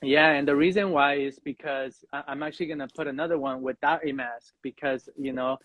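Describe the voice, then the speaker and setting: Male podcast guest, higher-pitched voice, earnest and halting, reflective talk, dry but slightly muffled sound. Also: steady tone, no echo. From Mexican background. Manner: earnest, halting, frequent "you know" and "right?". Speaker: podcast guest, fatherhood coach. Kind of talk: reflective personal conversation.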